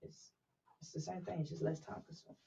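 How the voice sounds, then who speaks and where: A man's voice: fairly high pitch, little pitch movement, fast talker, gravelly, mellow, far from the mic, dull audio. A man who raps, talking about recording in a laid-back casual way, often saying "you know what I'm saying.". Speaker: guest rapper. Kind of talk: casual chat.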